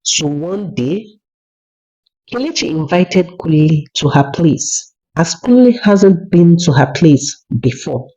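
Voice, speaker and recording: A storyteller narrates a scripted folktale at an unhurried, measured pace. The pitch is medium and rises and falls in a lively way. The recording is slightly dull and lo-fi.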